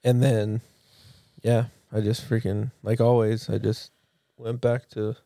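A man's voice: lowish voice, flat delivery, a little slow, gravelly, warm tone, no room sound, clean studio sound. A young man chatting casually as a podcast co-host in an informal, slangy and blunt way. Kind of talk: casual chat between friends.

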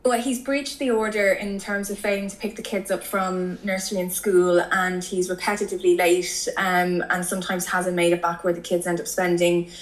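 A woman calling in to ask a family law question, speaking hesitantly with many pauses. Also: fairly low pitch, flat delivery. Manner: hesitant, lots of fillers. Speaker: caller. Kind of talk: asking questions on a call-in. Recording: echoey call audio.

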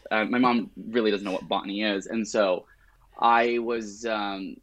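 A man's voice: lowish voice, fairly even intonation, normal speed, fairly bright tone, no echo. A middle-aged man, chatting casually in an interview in a wry, self-deprecating way. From born in Lebanon, grew up partly in rural Alberta, Canada. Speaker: realtor, interview guest.

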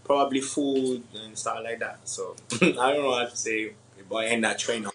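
A young man from Congo with French or Swahili as his first language, answering interview questions in a halting way with many pauses and laughs. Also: medium pitch, fairly bright tone, a little hiss or hum, low-quality recording. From Democratic Republic of the Congo. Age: young adult. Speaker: MMA fighter guest.

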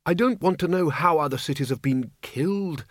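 A man voicing an elderly dead wizard who speaks softly and hesitantly, musing aloud. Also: on the high side, lively intonation, fairly quick, no echo, studio quality, pitched above the performer's own voice. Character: elderly dead male wizard, mild and thoughtful.

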